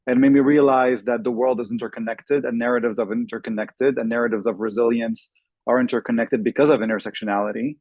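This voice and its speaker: A man's voice: mid-range voice, darkish tone, no echo. A man from Lebanon speaks fluently, listing things one after another with the odd um. He is introducing himself as a panelist. The sound is dry, as on a video call. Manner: fluent, listing, with filler ums. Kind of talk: self-introduction on a webinar panel.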